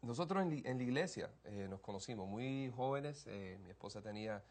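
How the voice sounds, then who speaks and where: A man's voice: medium pitch, expressive, a little fast, a little mellow. A man in his forties from Cuba, a singer and guest, talking hesitantly with many fillers.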